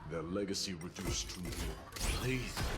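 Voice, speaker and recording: A man's voice: low-pitched, normal speed, lightly acted. A man voicing a ruthless, haughty warrior lord in a dramatic video game, speaking in formal archaic phrases with contempt. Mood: scornful, grim. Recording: long reverb, game music behind.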